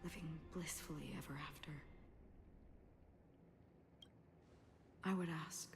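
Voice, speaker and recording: A woman playing a solemn, gentle and enigmatic guide in a video game, speaking softly and breathily in measured, philosophical phrases. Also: deep voice, gravelly. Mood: gravely questioning. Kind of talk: video game story dialogue acting. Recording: loud music under the voice.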